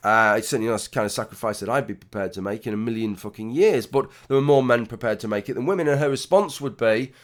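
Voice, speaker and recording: A man, hoarse and gravelly after a late night, talking through casual vlog commentary with blunt swearing and many restarts. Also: medium pitch, quick pace, few pauses. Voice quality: gravelly, husky. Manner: rambling, frequent restarts, blunt swearing, wry asides. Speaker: YouTube commentator.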